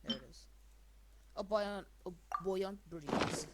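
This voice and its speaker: A woman's voice: medium pitch, slow, very noisy. A woman doing casual gameplay commentary, rambling and muttering to herself as she plays. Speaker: gamer recording a playthrough.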